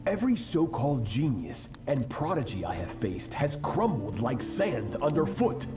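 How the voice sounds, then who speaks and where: A man's voice: mid-range voice, big pitch swings, normal speed, lightly acted. An actor playing a severe, arrogant master swordsman and merciless mentor in a video game, with a cold, commanding, grandiose delivery. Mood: proud, imperious. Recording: game audio under loud music.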